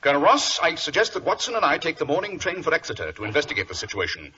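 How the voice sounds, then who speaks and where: A shrewd British detective in an old radio drama. He talks fast and incisively, with lively swings of pitch and a bright, commanding edge. The recording is dull and slightly noisy. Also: exaggerated voice. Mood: brisk, decisive. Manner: clipped, incisive, authoritative. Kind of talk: 1940s radio drama acting.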